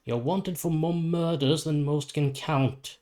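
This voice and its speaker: A man's voice: fairly high pitch, steady tone, slow, dark tone, pitched above the performer's own voice. Guy doing a stern suspicious superhero cop in a story, blunt, no nonsense. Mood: suspicious, challenging. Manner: blunt, challenging.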